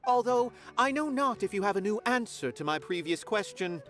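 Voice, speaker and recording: A man's voice: high voice, lively intonation, moderate pace. A man playing a haughty, eccentric art philosopher in a video game, pompous and formal. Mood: smug, pontificating. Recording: game music under the voice.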